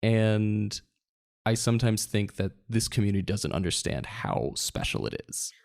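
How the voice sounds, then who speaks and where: A young man hosting a casual interview for a museum, with a gravelly, fry-tinged voice. He speaks earnestly and often trips over his words and starts over. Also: fairly low pitch, very animated, a little mellow. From New Jersey. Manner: earnest, halting, with restarts. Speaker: interviewer, museum content strategist.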